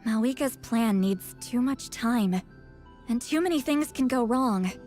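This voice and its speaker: A woman's voice: a little high, animated, unhurried, darkish tone, faint music behind. A woman voicing an anxious but determined young shaman in a video game, earnest and emphatic. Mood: grave, uneasy. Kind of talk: scripted video game voice acting.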